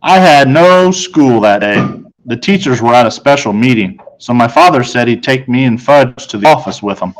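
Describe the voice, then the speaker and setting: A man's voice: mid-range voice, expressive, moderate pace, poor audio. A man narrating a children's novel aloud, as a storybook read-aloud.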